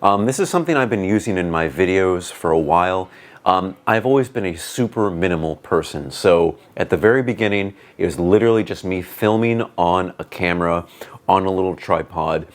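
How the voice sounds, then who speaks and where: A man with a booming voice giving a casual, rambling product review on a clip-on wireless mic, with lots of "like" and "um". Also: lively intonation, a little fast. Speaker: tech reviewer. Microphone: wireless clip-on lapel mic.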